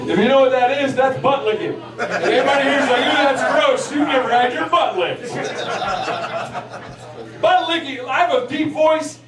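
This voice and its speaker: A man in his forties doing a crude stand-up set, profane and brash, shouting at the crowd. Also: very high-pitched, normal speed, long pauses. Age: 40s. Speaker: stand-up comedian. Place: large hall at a live show. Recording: echoey hall recorded from a distance with crowd noise. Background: laughing crowd.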